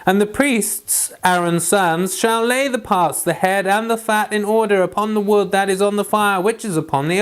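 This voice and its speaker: Man, fairly high voice, smooth and clear, informal devotional host, scripture reading and commentary. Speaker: host of a daily Bible read-along.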